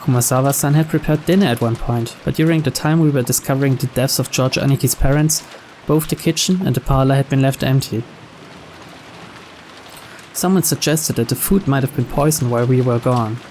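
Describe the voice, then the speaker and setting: A man reading scripted visual novel narration aloud in a measured storyteller's manner. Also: medium pitch, darkish tone, a little hiss or hum.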